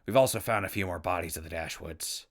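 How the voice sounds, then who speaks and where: A man playing a wry, weary, good-hearted and easily exasperated wasteland survivor in an audio drama, with a husky, gravelly voice. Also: low voice, fast, a bit bright. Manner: sarcastic, colloquial, exasperated. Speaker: protagonist and narrator. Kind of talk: post-apocalyptic audio drama acting.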